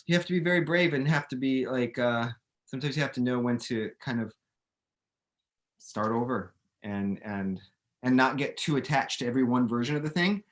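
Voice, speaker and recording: A middle-aged man chatting casually as an author answering questions, thinking aloud and often restarting his sentences. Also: mid-range voice. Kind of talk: casual chat, explaining to students.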